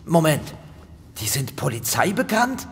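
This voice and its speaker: Ein Schauspieler spielt in einem Hörspiel einen ernsten, loyalen Verbündeten eines Inspektors, natürlich und eindringlich gesprochen. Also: male voice, fairly high pitch, very animated, measured pace, slightly rough, full character voice. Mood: curious, tense. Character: earnest, loyal male ally of the inspector. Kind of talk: naturalistic audio drama acting. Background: music.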